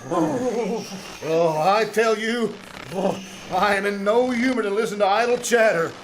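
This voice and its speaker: A man's voice: high-pitched, unhurried, low-quality recording. A man playing a fussy, selfish, complaining male traveler in a 1940s-style radio comedy, with a pompous manner. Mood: grumbling, miserable. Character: fussy, whining, selfish male bus passenger. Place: small theater stage. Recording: live stage performance with background noise.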